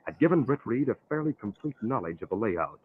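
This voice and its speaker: A man's voice: medium pitch, big pitch swings, a little fast. A man narrating a scripted story as an announcer in 1940s radio style. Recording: tinny, faintly noisy old radio recording.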